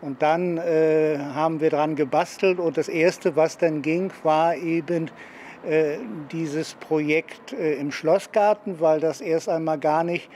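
High-pitched older man, halting interviewee. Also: steady tone, darkish tone, a little hiss or hum. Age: 60s. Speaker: cultural centre managing director interviewee. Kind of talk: spontaneous interview answer. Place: outdoor courtyard.

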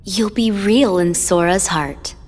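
A woman voicing a sadistic, sweetly cruel young villainess in a video game, taunting with drawn-out mock sympathy. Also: mid-range voice, darkish tone. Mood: scolding, coaxing. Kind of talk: video game cutscene acting.

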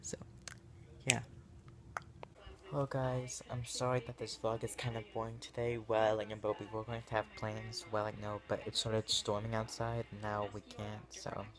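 A man's voice: on the low side, flat delivery, normal speed, over music. A teenage or young adult man chatting casually to a phone held at arm's length, rambling hesitantly with lots of filler. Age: teens to young adult. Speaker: vlogger. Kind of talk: casual vlog chat to camera. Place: small rooms indoors at home.

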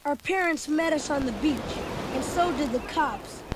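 A woman narrating a children's read-along story record in a clear storytelling manner, with music behind. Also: high-pitched, normal speed, background noise.

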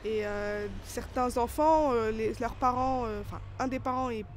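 Young woman, hesitant vox pop answer, slightly high voice, handheld mic, faint ambience. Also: normal speed, slight background noise. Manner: hesitant, many fillers. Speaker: passer-by interviewed. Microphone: handheld mic held by interviewer.

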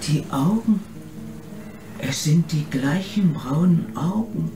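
An actress playing a stunned, haunted mother in a radio drama, speaking in halting repetitions. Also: sits low, expressive, full character voice. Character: stunned mother recognising her lost son. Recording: distant, echoey, rain and loud music.

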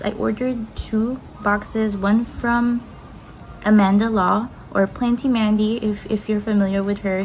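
A woman's voice: medium pitch, a little mellow, low-quality recording. A young woman from the Philippines, chatting in a casual, upbeat way as she unboxes plants in her home kitchen, speaking to a camera on the table in front of her. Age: young adult. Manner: chatty and upbeat, gushing, talks while handling plants. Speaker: plant vlogger.

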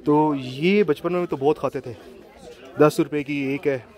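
Male travel vlogger, chatty guide voice a bit on the high side, recorded outdoors amid a crowd. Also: measured pace, darkish tone. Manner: chatty, pointing things out while walking. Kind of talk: casual walking tour vlog. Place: busy hill-town street outdoors. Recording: crowd noise around the speaker. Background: crowd of passers-by.

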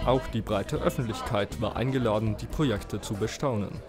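A man's voice: on the low side, fairly quick. A man reading scripted documentary narration as a voice-over. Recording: loud music behind the voice. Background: loud music.

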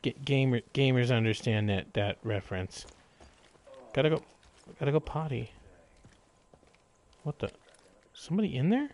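A man's voice: medium pitch, animated, normal speed, long pauses, darkish tone, slight background noise. A man doing casual live gameplay commentary, exclaiming, swearing and muttering jokey remarks to himself. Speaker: video game live streamer.

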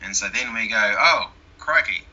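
A man's voice: lowish voice, animated, moderate pace, pushed and bright. A man with a New Zealand accent, a guest talking casually in an interview. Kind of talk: conversational interview. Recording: dull lo-fi sound.

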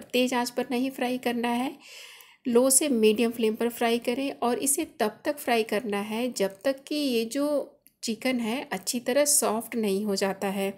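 A woman's voice: fairly high pitch, darkish tone. A woman hosting a cooking channel, explaining each step of a recipe in a chatty, instructive voice-over. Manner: friendly instructive, chatty home-cook explaining.

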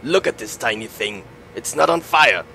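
A man playing a brawny, brash Australian boss who makes bombastic boasts in a gravelly voice. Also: mid-range voice, expressive, moderate pace, bright, forceful tone, slight background noise, rough recording.

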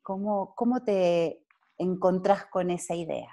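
Woman with Argentine accent, lower voice, warm chatty interviewer, laughs easily. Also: takes it slowly. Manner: friendly, laughing, searching for words. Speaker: interviewer, facilitator. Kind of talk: casual video call interview. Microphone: video call.

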